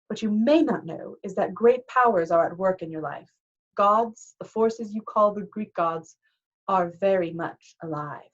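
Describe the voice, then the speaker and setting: A woman voicing a kindly, wise older male teacher who speaks in a gentle, measured, formal way. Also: mid-range voice, dull audio, close to an everyday voice. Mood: patient.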